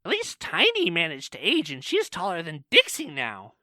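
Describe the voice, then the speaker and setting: A woman's voice: fairly low pitch, normal speed, no echo, exaggerated voice. A woman voicing a cheeky, opinionated male cartoon monkey in a fan audio drama, chatty and sassy. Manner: animated, sassy. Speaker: co-host of a fan question show. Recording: dry voice over background music.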